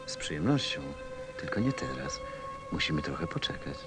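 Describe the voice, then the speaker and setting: Gentle reflective narrator, super deep voice, radio play with music behind. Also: male voice, big pitch swings, normal speed, exaggerated voice. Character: gentle, reflective adult male narrator recalling his small friend. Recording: music under the voice.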